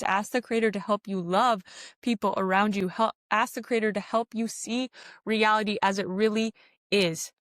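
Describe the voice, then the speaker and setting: A woman with a medium-pitched voice records a solo spiritual podcast close to the mic in a very dry space. She speaks in earnest, exhorting the listener and repeating key phrases so that they land. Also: dry recording. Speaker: podcast host. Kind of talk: spiritual self-help podcast monologue.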